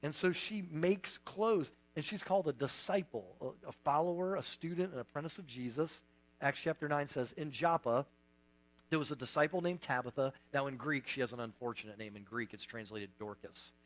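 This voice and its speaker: A man's voice: a little high, moderate pace, low-quality recording. A man preaching a casual, conversational sermon, full of asides and self-deprecating humor. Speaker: pastor.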